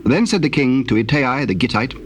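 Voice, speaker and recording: A man with a British accent narrating scripture in a formal, measured, solemn storytelling style. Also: mid-range voice, lively intonation. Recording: noisy old cassette tape with ambience.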